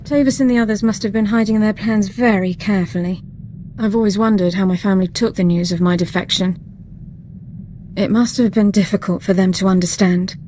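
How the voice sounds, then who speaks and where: A woman's voice: medium pitch, steady tone, fairly quick, mellow, lightly acted. Formal, composed female military officer played by an actress, background noise. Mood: composed, proud. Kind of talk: video game dialogue acting.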